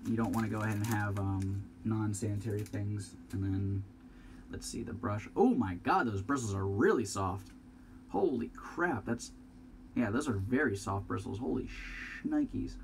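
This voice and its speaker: A man's voice: fairly low pitch, moderate pace, vocal fry, slight background noise. Chatty congested young man unboxing. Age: young adult. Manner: rambling, chatty, swears casually, thinks aloud. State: congested, sniffling. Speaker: product reviewer. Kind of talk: casual unboxing review. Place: small room at home, indoors.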